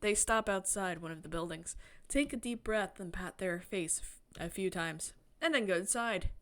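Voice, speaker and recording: A woman game streamer expressively reading a visual novel aloud, breaking into excited, chatty asides. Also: medium pitch, normal speed. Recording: music behind the voice. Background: game music.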